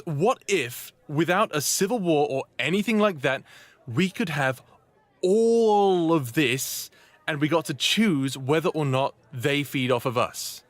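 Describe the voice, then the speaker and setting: A man's voice: a little high, no room sound. An actor playing an earnest, hesitant man of thirty-eight who speaks persuasively in a fiction podcast drama. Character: earnest 38-year-old human rebel-idealist, a smartass former captive.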